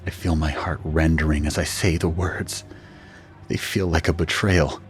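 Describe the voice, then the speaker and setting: A man's voice: rumbling low, steady tone, normal speed, faint music behind. A man playing an anguished husband and father, narrating an audio drama in a grave, dramatic way. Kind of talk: scripted first-person audio drama narration.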